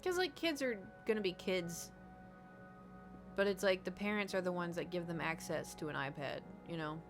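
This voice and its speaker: A woman's voice: fairly low pitch, moderate pace. Chatty American woman streamer. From United States. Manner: rambling, chatty, reads and answers chat. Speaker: gaming streamer. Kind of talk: casual chat while gaming. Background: background music.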